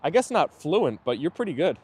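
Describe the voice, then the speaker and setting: A man's voice: fairly high pitch, sing-song, brisk, a little mellow, slightly rough. A man hosting a casual live stream outdoors, chatting in a friendly way and answering viewer comments.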